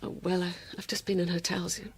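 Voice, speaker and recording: An actress playing an emotional, remorseful, devoted wife in a radio drama, speaking in a halting, pleading way. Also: female voice, on the low side, flat delivery, husky. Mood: remorseful, relieved.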